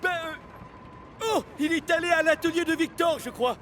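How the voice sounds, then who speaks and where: A man's voice: very high-pitched, a little slow, a bit bright, slight background noise. A man voicing a friendly, curious little machine character in a children's cartoon.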